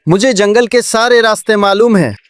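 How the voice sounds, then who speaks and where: Cheeky monkey guide for a kids' cartoon, played by a man: high, unhurried, dry recording. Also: smooth, no echo, lightly acted. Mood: cheerful, helpful. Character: cheeky, helpful talking monkey, male. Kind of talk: children's cartoon story acting.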